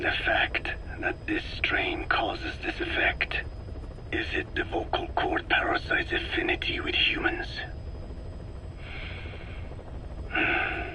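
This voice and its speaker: An actor playing a grave old scientist in a video game, with a weak, breathy voice and halting, formal, precise delivery. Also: male voice. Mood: pensive, solemn. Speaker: scientist explaining research. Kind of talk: video game dramatic dialogue. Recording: noisy cassette tape with vehicle-like rumble.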